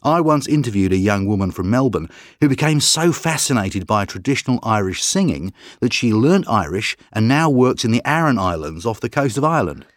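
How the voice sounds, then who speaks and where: Calm articulate writer guest, expressive delivery, dry studio read. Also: male voice, fairly low pitch, brisk, few pauses, no echo. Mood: earnest. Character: man, calm thoughtful writer and broadcaster. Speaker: interview guest. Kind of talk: scripted exam interview.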